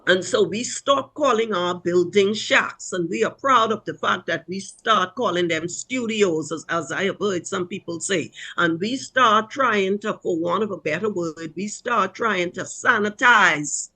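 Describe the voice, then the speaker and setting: A woman with a Bahamian accent talking in a measured, emphatic, conversational way. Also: medium pitch, pushed and bright, breathy edge, poor audio. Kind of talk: conversational panel talk.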